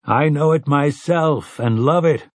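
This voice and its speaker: A man voicing a weary, courtly older gunslinger who speaks in old-fashioned formal phrases, with a husky voice. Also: a little high, measured pace, no room sound, studio quality, voice pushed up, natural voice. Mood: warm, formal.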